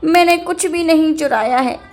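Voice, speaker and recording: A woman's voice: high-pitched, moderate pace, soft background music. A woman voicing a defensive old village woman in a Hindi comedy cartoon, earnest and protesting. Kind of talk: Hindi animated comedy acting.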